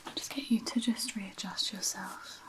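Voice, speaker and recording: A woman whispers softly in an ASMR medical roleplay, playing a physiotherapist. Her pitch stays flat and level, with a breathy texture. She talks gently and without hurry, explaining each step as she goes. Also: normal speed.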